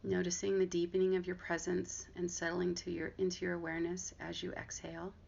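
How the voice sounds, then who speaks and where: Female meditation guide, gentle and unhurried, fairly flat intonation with gravelly fry, muffled lo-fi recording. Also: on the low side. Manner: gentle, unhurried instruction with long pauses. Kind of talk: guided body scan meditation. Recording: dull lo-fi audio with clear background noise.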